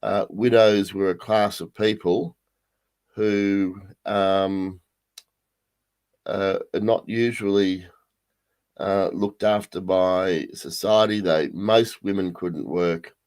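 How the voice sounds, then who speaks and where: A male pastor with a croaky voice leading a livestreamed Bible study, halting with many ums and restarts. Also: sits low, steady tone, darkish tone.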